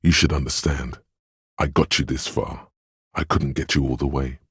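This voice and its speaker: An actor playing a grave, perceptive inner voice of empathy in a game, husky and measured, gently guiding the listener in intimate narration. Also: male voice, steady tone, dry recording, clean studio sound, exaggerated voice. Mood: hushed, cautioning. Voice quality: very deep, husky. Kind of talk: scripted game narration, second person.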